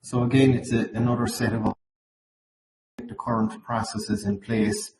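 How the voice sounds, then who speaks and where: Irish male webinar presenter, slightly croaky, monotone, recorded in an echoey space with faint noise. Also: mid-range voice, measured pace, a crowd in the background. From Ireland. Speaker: account manager presenting at a webinar. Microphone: video call. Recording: roomy, noisy webinar call audio.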